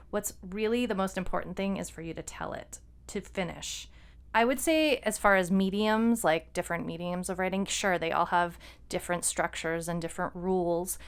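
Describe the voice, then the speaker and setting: A woman's voice: mid-range voice, clean tone. A woman co-hosting a podcast, talking casually and giving informal, encouraging advice as a writer. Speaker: podcast co-host and fiction writer. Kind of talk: casual conversational podcast talk.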